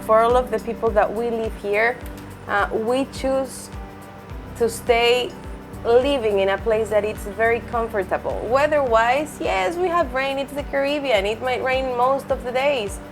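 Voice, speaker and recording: Chatty woman from Mexico City, higher voice. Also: unhurried, over music. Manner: chatty, explains with lists and asides. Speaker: real estate agent. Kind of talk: casual conversational interview.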